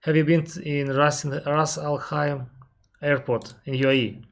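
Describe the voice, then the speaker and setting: Russian-accented man explaining at measured length. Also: a little high, flat delivery. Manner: careful, explanatory, pauses with frequent "uh" and restarts; reads viewer questions aloud, then answers at length. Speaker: airline captain answering viewer questions. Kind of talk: live-stream Q&A.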